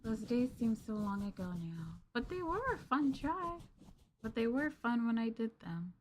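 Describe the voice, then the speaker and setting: A young woman streamer chatting gently and sweetly with her viewers in a maid roleplay, calling them master. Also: fairly high pitch, very animated, normal speed, a little hiss or hum.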